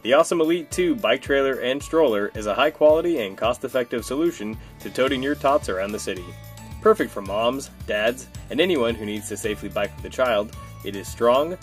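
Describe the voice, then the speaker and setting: A man reading a scripted promotional product narration as an advertisement voice-over. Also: medium pitch. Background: music.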